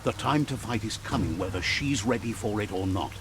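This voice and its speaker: A man's voice: on the low side, lively intonation, a little fast. A man playing a wry, philosophical storyteller, narrating a game in a theatrical, storybook style. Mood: grave, dramatic. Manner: theatrical, aphoristic. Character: wry, philosophical male storyteller translating for creatures. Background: music.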